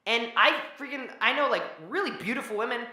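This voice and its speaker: A young man with a high voice, co-hosting a casual podcast. He sounds tired and rambles, restarting sentences and trailing off. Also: brisk, pushed and bright. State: tired from working all day. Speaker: podcast co-host. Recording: echoey room.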